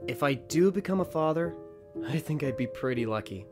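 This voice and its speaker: A man's voice: a little high, animated, a little fast, loud music behind the voice, lightly acted. A man playing a dutiful, good-natured young stallion guard captain in a radio drama. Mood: teasing, fond.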